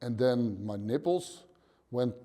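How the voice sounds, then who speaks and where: Thirties Dutch man, gym owner, blunt halting interview talk, mellow dark voice, dim gym. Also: medium pitch, animated. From Netherlands. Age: 30s. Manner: plain, blunt, swears casually, short halting phrases. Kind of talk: casual interview answers.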